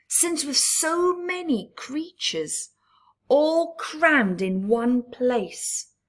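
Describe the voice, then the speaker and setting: A woman reading a rhyming picture book aloud at story time, stopping to chat with young listeners and dropping into whispers. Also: medium pitch. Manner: slow rhyming read-aloud with chatty asides to young listeners; drops to breathy whispers. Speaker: storybook reader.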